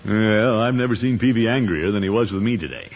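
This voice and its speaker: A blustering pompous commissioner. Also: male voice, on the low side, expressive, brisk. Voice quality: hearty, blustering, chuckling often. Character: pompous middle-aged man, water commissioner. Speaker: lead of a radio sitcom. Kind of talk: 1950s radio comedy acting. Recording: muffled old radio broadcast with hiss.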